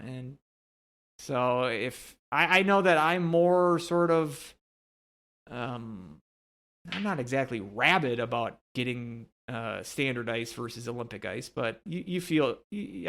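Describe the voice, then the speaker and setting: A man with a creaky, gravelly edge to a mid-pitched voice chats as a sports podcast co-host. He feels his way through casual commentary, with hesitant, wandering phrasing. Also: fairly bright tone. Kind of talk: casual hockey commentary chat.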